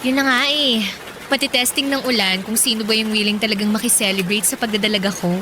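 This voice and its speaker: Babaeng gumaganap bilang dalagitang mataas ang boses, makulit. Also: female voice, fairly high pitch, fairly even intonation, quick pace, noisy background, lightly acted. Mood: earnest, insistent. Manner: earnest, chatty, insistent. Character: dreamy, stubborn seventeen-year-old girl planning ahead. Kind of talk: Filipino radio drama acting. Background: music.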